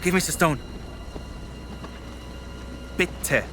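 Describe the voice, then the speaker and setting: High-voiced, fanatical German Nazi villain. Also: male voice, drawn-out words, music in the background. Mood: threatening. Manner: theatrical, contemptuous, grandiose. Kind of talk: cinematic video game villain acting.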